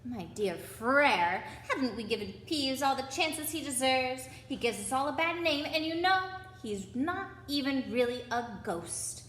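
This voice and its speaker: A woman's voice: high-pitched, lively intonation, a little slow, few pauses, fairly bright tone, pitched above the performer's own voice. A woman voicing an indignant, fussy male ghost from a storybook, speaking with exasperated emphasis. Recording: echoey room with background noise.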